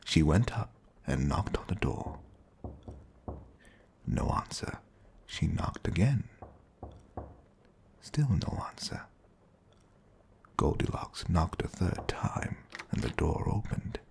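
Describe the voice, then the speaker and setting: A man playing a butler who reads a storybook fairy tale aloud in a soothing, breathy, creaky whisper. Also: sits low, big pitch swings, slow pace, long pauses, darkish tone, a little hiss or hum, exaggerated voice.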